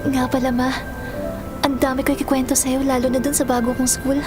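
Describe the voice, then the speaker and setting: A woman's voice: sits high, flat delivery, fairly quick, music in the background, natural voice. A woman acting a sweet, cheerful teenage schoolgirl in a Tagalog audio drama. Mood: affectionate, wistful.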